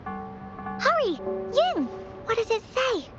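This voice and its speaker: An eager little girl from an anime-style game, her voice very high and swooping with childlike drama. She takes her time over the words, bold and adventurous, over music. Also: huge pitch swings, takes it slowly, fairly bright tone, highs cut off, full character voice. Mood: delighted.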